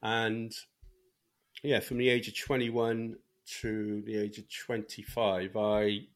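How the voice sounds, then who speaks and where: A man's voice: lowish voice, level tone, takes it slowly. A middle-aged man with a Welsh accent, speaking candidly as a guest about his life, rambling with many restarts. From Wales.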